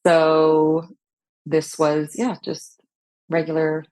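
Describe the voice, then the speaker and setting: A woman with a notably low voice, speaking as a guest in a casual interview. She is from California, spoke Hebrew before English, and looks back on her upbringing calmly, with plenty of "like" and "you know.". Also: extremely slow. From Long Beach, California. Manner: relaxed, reflective, many fillers.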